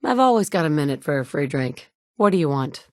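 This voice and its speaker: Casting: a blunt, wry mother on a hunt, a slightly low voice, close and dry. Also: sing-song, brisk, no echo. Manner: dry, blunt, sometimes sarcastic. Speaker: player character. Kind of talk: video game dialogue lines.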